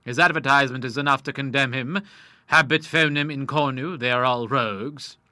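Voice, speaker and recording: A man's voice: medium pitch, brisk, sharp tone, dry recording, studio quality. A man voicing an amiable, polished old lawyer who sprinkles his talk with Latin. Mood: genteel. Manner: genteel, drops Latin phrases. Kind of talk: audiobook character voice.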